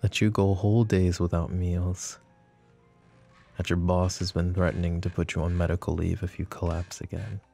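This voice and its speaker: Man playing a low, smug, flirty mafia boss. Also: level tone, moderate pace, vocal fry, warm tone, soft background music. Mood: flirty, needling. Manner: teasing, mocking, intimate drawl with pet names. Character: smug, flirty, possessive male mafia boss under interrogation. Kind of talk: ASMR roleplay acting.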